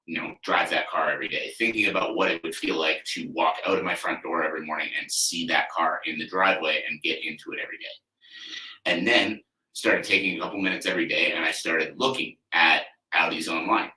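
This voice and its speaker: Man in his thirties, low and bright, rambling casual podcast talk, a bit off-mic in a reverberant room. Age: 30s. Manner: conversational, loose, frequent "you know" and "like" fillers, occasional swearing. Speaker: athlete coach, podcast host. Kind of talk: casual solo podcast monologue. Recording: echoey room, mic at a distance.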